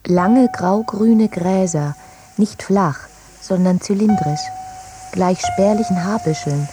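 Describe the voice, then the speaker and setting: A woman reading literary prose as a scripted narration, with measured and deliberate phrasing. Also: mid-range voice, noisy background.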